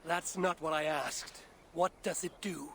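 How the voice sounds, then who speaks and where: Give the imperious lord of Norse gods. His voice sits a little high, and he speaks bluntly in archaic, formal phrases, as in a dramatic video game, with clear noise in the background. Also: steady tone, a little slow, a bit bright. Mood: impatient. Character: proud, commanding male Norse god, lord of Asgard.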